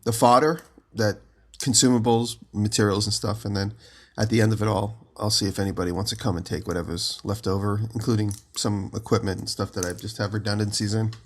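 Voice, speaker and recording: Guy with a low groggy morning voice, rambling podcast co-host calling in from home. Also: fairly even intonation, a little fast. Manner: rambling storyteller, giggly. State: just woke up, voice lower than usual. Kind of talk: casual chat. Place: his home.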